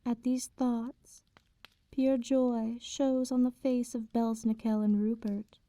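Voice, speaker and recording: A woman playing an immortal vampire in an ASMR roleplay, speaking softly and formally, with condescending tenderness and quiet menace. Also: fairly high pitch, no echo. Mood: ominous storytelling. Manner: formal archaic diction, unhurried, teasing. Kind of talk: slow ASMR roleplay, intimate bedtime storytelling.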